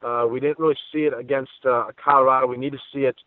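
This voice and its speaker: A man's voice: medium pitch, steady tone, fast, no echo. A man giving emphatic, conversational sports analysis as an opinionated guest on a podcast. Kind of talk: sports podcast interview commentary. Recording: dry, phone-like narrow band.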